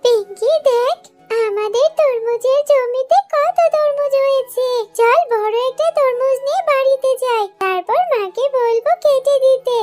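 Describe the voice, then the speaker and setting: A woman's voice: very high voice, measured pace, over loud music, pitched above the performer's own voice. A woman voicing an eager, pleading little girl fox cub in a children's cartoon.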